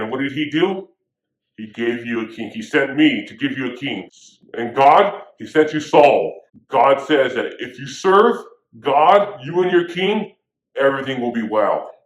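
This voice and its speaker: A man's voice: mid-range voice, fairly bright tone. A man playing a stern prophet who scolds his people, preaching in a casual, modern way full of slang. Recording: echoey room.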